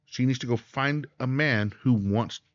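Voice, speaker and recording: A man's voice: mid-range voice, a little slow, no echo. A man co-hosting a podcast in casual chat, steering the talk and leaning on fillers. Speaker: podcast co-host. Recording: dull, dry lo-fi sound.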